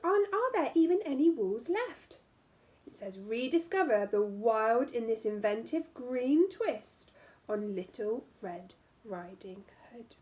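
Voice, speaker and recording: High-voiced, very expressive woman reading a storybook. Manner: animated, drawn-out storytelling with hushed asides and questions to listeners. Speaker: primary school teacher. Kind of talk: expressive picture-book read-aloud for young children.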